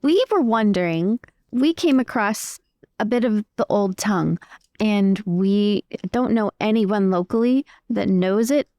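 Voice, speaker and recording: A woman's voice: medium pitch, expressive, unhurried, mellow, dry recording. A woman improvising in-character conversation as a tabletop game player, polite and a little hesitant. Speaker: player in a tabletop role-playing podcast.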